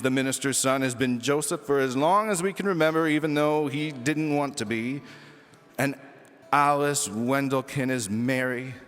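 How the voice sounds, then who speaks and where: A man's voice: fairly high pitch, a bit bright, in a large hall. A man reading a children's book aloud as a storyteller, with theatrical, emphatic delivery. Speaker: audiobook reader.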